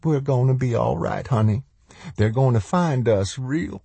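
A man's voice: on the high side, expressive, moderate pace, mellow, husky, no echo, voice pushed up. A man voicing a desperate, folksy mother who clings to hope, in an audiobook. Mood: soothing.

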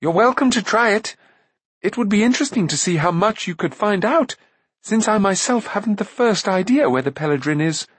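A man's voice: sits high, animated, a little fast, breathy edge, no room sound, pitched above the performer's own voice. A man voicing a blundering but stout-hearted young prince in an audiobook, breezy, naive and defiant. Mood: nervous bravado. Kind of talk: audiobook character acting.

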